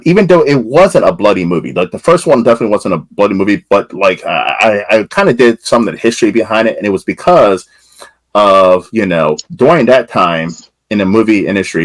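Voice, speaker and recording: A man's voice: lowish voice, dry recording, poor audio. A man hosting a podcast interview, asking long rambling questions with lots of fillers. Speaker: podcast host.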